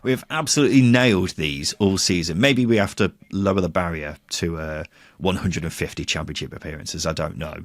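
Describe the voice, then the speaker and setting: Low-voiced man, dry close sound. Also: fairly quick, dry recording. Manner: jokey, playful teasing, mild swearing. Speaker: football podcast host. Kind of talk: casual banter, quiz chat.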